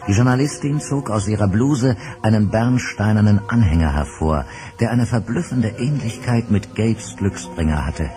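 A man's voice: fairly low pitch, normal speed, dark tone. A man narrating an adventure radio play with dramatic storytelling. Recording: music bed under narration.

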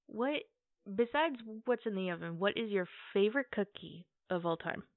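A woman playing an affectionate, playful girlfriend in a casual, conversational ASMR roleplay, with hesitant pauses. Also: medium pitch, animated, dry recording, lightly acted. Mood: curious, thoughtful. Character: affectionate, playful girlfriend baking cookies at home. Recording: tinny, phone-like band-limited audio.

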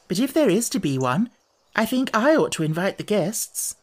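A man's voice: high voice, very animated, moderate pace, pitched above the performer's own voice. A man voicing a polite, earnest little girl who reasons and questions, in a storybook reading. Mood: puzzled.

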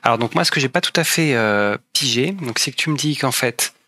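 A man's voice: medium pitch, expressive, a little fast, pushed and bright, no room sound. A young man hosting an interview, politely rephrasing the guest's answers to check he understands. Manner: polite, apologetic, clarifying. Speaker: interviewer, host.

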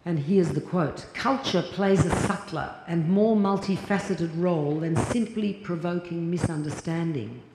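A woman, reading a formal lecture from notes at a podium in a large hall. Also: lowish voice. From Australia. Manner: measured, deliberate, reading from notes. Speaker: keynote lecturer. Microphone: lapel mic and podium mic. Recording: echoey hall, audible noise.

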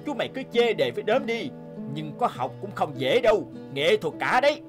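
A man's voice: high-pitched, big pitch swings, brisk, over music. A man voicing a cocky, boastful young gambler in a cartoon, swaggering and scornful as a bossy older brother. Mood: scolding, boastful. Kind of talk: animated moral tale dialogue.

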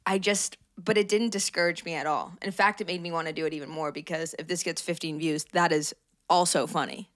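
A woman's voice: fairly low pitch, fairly even intonation, brisk, dry recording, clean studio sound. A woman in her twenties from Canada, co-hosting a casual podcast, rambling and self-deprecating with frequent giggles. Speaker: podcast co-host. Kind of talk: casual chat. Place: small apartment room, indoors. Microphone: handheld dynamic mic, close.

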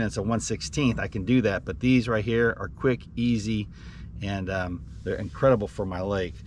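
A middle-aged man, a fishing guide, talking casually from his boat on a lake into a headset mic, relaxed and rambling with lots of ums. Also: on the low side, a little hiss or hum. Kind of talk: casual fishing vlog chat.

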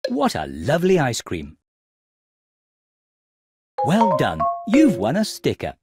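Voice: medium pitch, huge pitch swings, slow, darkish tone, breathy edge. A narrator of a children's game, giving scripted instructions expressively for young children. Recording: music behind the voice.

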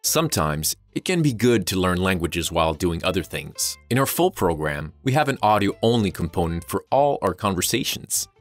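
A male narrator with a low voice reads a scripted course promotion. Faint music plays behind him. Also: very animated, unhurried, few pauses, dry recording.

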